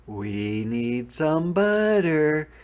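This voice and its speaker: A man reading a children's story, voicing a calm mother who gives patient, deliberate instructions. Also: fairly high pitch, voice pushed up, heavily acted. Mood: patient, instructing. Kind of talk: children's read-aloud.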